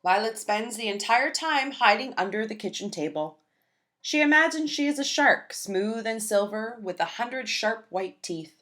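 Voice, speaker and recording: A woman reading a children's picture book aloud in a gentle, expressive storytelling manner. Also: a little high, moderate pace.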